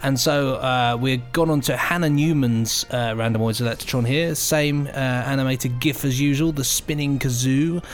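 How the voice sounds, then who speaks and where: A man's voice: mid-range voice, fairly even intonation, moderate pace, hardly any pauses. Playful male podcast co-host over faint music. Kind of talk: light, chatty podcast presenting.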